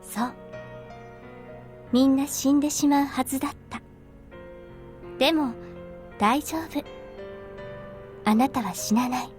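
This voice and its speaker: An actress playing a gentle, solemn older sister speaking to a child in a Japanese visual novel game. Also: female voice, high-pitched, normal speed, pauses often, soft background music, close to an everyday voice.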